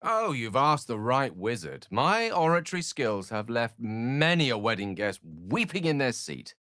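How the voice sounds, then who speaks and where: A man's voice: medium pitch, sing-song, unhurried, sharp tone, no room sound, studio quality. An actor playing an eloquent, learned wizard in a video game, articulate and bookish, wry and earnest in his florid phrasing. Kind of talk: scripted video game character dialogue.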